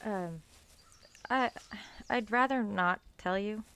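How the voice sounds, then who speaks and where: A woman's voice: medium pitch, takes it slowly, pushed and bright, slight background noise. A young woman acting in a radio drama as a secretive, frustrated college student with a casual, slangy manner. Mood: casual, awkward. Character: secretive, frustrated college-age granddaughter on a search.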